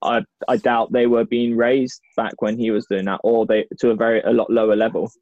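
A man with a middle-pitched voice, talking informally in a group. The sound is dry and a little dull, with no room around it. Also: flat delivery, a little fast, darkish tone, no room sound. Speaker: podcast participant. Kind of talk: casual chat.

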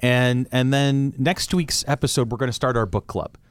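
A man's voice: medium pitch, moderate pace, clean tone, no echo. A man in his forties hosting a casual podcast panel, joking and steering the talk. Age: 40s. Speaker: podcast host.

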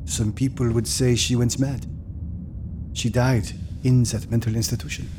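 A man's voice: mid-range voice, level tone, moderate pace, noisy background, lightly acted. An actor playing a reflective, solemn man in his eighties, haunted by memories of his father, in an audio drama. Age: 80s. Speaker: storyteller recalling his childhood. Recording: music under the voice.

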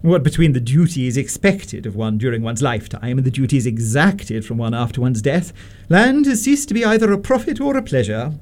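A man playing a haughty, disapproving upper-class Victorian mother in a radio comedy, pompous and deliberate with swooping emphasis. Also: mid-range voice, expressive, darkish tone, natural voice. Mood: haughty interrogating. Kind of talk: stage-comedy radio acting.

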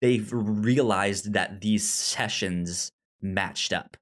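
A man co-hosting a film podcast, talking casually and repeating words as he works out his thoughts. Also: on the low side. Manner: halting, repeats words while thinking. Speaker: podcast co-host reviewing films. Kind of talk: casual conversational film discussion.

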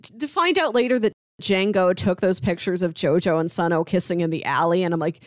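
A woman's voice: fairly low pitch, a little fast, no echo, studio quality. A woman co-hosting a casual recap discussion, retelling scenes conversationally and slipping into quoted dialogue. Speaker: podcast co-host.

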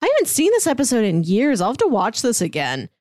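Chatty woman with a higher voice. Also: wildly swooping pitch, fast talker, a little mellow, no room sound, studio quality. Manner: chatty, frequent "like". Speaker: podcast co-host. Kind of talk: casual pop culture chat.

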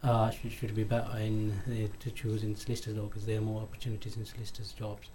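A man from Pakistan, a solicitor and radio panel guest, answering questions in a measured, hesitant way with many uhs. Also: on the low side, monotone, mellow, slight background noise. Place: radio studio.

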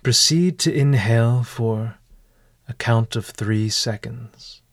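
A man narrating a guided meditation in a formal, measured, literary manner. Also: mid-range voice, dry recording.

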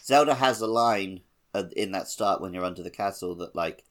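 Low-voiced man chatting about games. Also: moderate pace, background noise. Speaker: podcast co-host. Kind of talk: casual video game playthrough discussion.

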